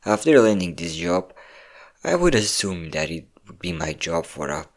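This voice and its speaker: A man playing a depressed young man who tearfully confides in his best friend, halting and self-deprecating, in an intimate audio roleplay. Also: low voice, very animated. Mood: sad, reflective. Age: young adult.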